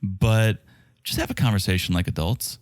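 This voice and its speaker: A man's voice: on the low side, moderate pace, no room sound, clean studio sound. A man co-hosting a podcast about a reality show, chatting casually with a sarcastic edge and whose first language is Czech. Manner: sarcastic, quotes show participants with lively impressions. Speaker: podcast co-host.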